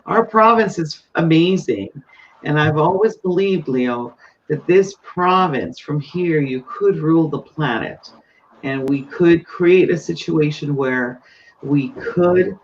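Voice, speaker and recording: A woman hosting a live webinar interview, talking in an enthusiastic, effusive way. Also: sits low. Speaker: webinar host. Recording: dull lo-fi webinar audio with faint noise.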